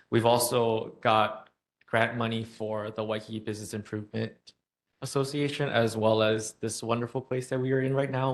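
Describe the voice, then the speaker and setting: Hesitant man giving a meeting report. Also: lowish voice. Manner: informal, hesitant, frequent filler pauses. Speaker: state legislator giving a report. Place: community center meeting room.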